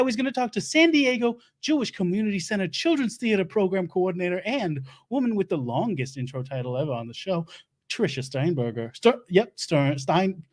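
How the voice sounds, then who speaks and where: A man playing a bumbling self-proclaimed doomsday-prep lecturer who hosts a comedy show, with a put-on Louisiana accent, rambling and stumbling over his words. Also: high-pitched. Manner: rambling, stumbling, laughs at own jokes. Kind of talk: improvised comic monologue. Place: home studio.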